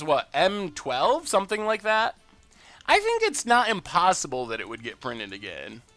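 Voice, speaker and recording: Man, game streamer, bright forceful tone, lively swings in pitch, dry close mic, faint music behind. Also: no echo. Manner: upbeat, chatty, reads and answers viewer messages. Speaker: streamer and gameplay commentator. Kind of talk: live-stream gameplay commentary with chat replies.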